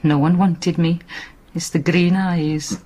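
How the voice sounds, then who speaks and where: An eerie Welsh village outcast with a low voice. She is provocative and intense, speaking as in an intimate radio play. A faint noise sits behind her. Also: fairly even intonation, slow pace, dark tone. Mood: brooding. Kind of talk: intimate radio drama dialogue.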